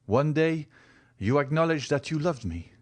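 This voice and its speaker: A man reading an audiobook, voicing a passionate young man who speaks in an earnest, measured and bitter way. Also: on the high side, lively intonation, pitched above the performer's own voice.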